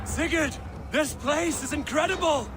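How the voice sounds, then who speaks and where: Fierce Viking raider, high and forceful, relishing combat, game cutscene under loud music. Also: male voice, a little slow. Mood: exultant. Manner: forceful battle cries, exultant declamation. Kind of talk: dramatic video game acting.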